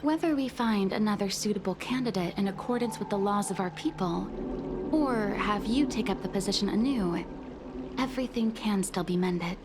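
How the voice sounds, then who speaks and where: A woman playing an earnest, dutiful maid in a video game, speaking formally and deferentially in measured phrases. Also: mid-range voice, lightly acted. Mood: hopeful, formal. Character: earnest, dutiful maid pleading for her young mistress. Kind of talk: dramatic video game voice acting. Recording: music behind the voice.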